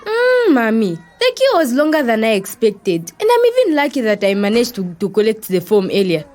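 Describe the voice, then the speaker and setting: A woman's voice: on the high side, huge pitch swings, normal speed, darkish tone. A woman with a Zambian accent playing a chatty, breezy young daughter and loyal friend in an educational audio drama. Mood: lively, chatty.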